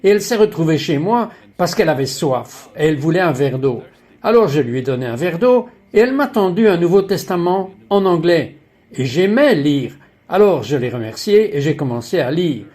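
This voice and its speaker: A man with a slightly high voice reads a dubbed religious interview. He delivers questions and personal testimony as a voice-over. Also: animated, normal speed, darkish tone.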